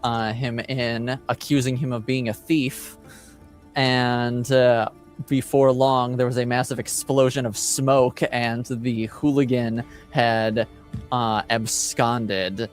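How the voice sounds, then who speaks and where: A man improvising narration as game master of a tabletop roleplaying game, halting as he searches for words. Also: mid-range voice, flat delivery, soft background music.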